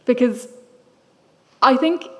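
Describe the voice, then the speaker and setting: A woman's voice: high-pitched, slow, darkish tone. A young adult woman from Ireland giving a thoughtful, wry public lecture in a reflective manner.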